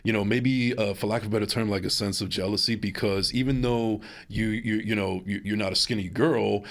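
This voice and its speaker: A man hosts a casual podcast conversation in a lowish voice. He muses at length, hedges his opinions, and often restarts his sentences. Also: steady tone, brisk. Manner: rambling, hedging, many restarts and fillers. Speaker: podcast host. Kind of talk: casual interview chat.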